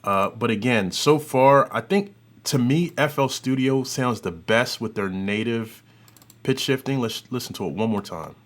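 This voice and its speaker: A man hosting an audio software tutorial, talking informally and thinking aloud as he works through a demo. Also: mid-range voice, animated, a little slow, slight background noise. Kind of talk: casual demo commentary.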